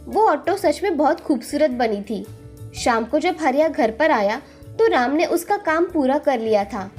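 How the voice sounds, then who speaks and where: A woman's voice: high voice, a little mellow. A woman reading a scripted children's story as its storyteller.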